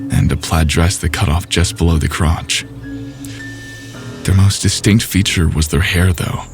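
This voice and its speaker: A man reading a first-person paranormal story aloud in a measured storytelling way. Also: low-pitched, a little mellow. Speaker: story narrator. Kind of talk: scripted first-person narration.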